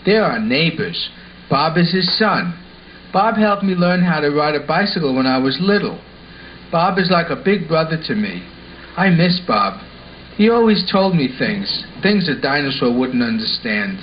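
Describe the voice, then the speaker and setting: Puppet show boy played by a man: high voice, polite and helpful, earnest delivery, slightly hissy and muffled recording. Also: big pitch swings, normal speed. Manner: earnest, simply explaining. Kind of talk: amateur puppet show acting. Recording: muffled home recording with hiss.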